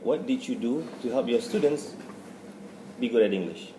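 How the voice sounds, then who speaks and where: A man's voice: medium pitch, lively intonation, normal speed, mellow, far from the mic, slight background noise. Malaysian man interviewing in an echoey room. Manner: brief prompts and short backchannels. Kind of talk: casual interview.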